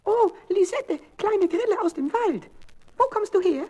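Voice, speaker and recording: Squeaky, eager little puppet boy. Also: animated, unhurried, mellow. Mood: pleading, hopeful. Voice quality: childlike, squeaky. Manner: pleading, impulsive. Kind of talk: children's radio play acting.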